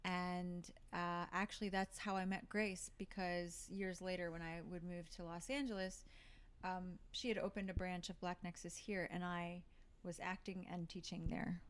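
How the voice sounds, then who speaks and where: A woman actor chats through a relaxed interview in a slightly low voice. She thinks out loud, circling back with fillers and restarts as she goes. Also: moderate pace, smooth. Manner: thoughtful, with frequent fillers and restarts. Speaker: actor and guest. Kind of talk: casual interview talk.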